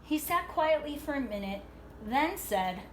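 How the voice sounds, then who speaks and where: A woman reading a picture book aloud to children like a teacher, expressively, pausing to explain and check in with her young listeners. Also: high voice, animated, a little hiss or hum. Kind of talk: read-aloud storytelling with asides to young listeners.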